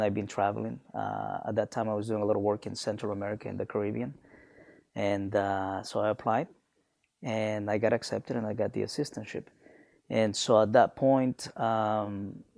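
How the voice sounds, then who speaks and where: Reflective man from Honduras, gravelly. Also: on the low side, darkish tone, no room sound, clean studio sound. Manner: reflective, many fillers and restarts. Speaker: guest, business alumnus. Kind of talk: conversational interview.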